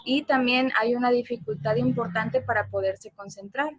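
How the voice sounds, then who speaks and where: A woman with a high-pitched voice presents an informal online lecture. The sound is dry, with very little room echo. She explains step by step and keeps seeking agreement with brief tag questions. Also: steady tone, normal speed, husky. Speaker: presenter of a parenting talk.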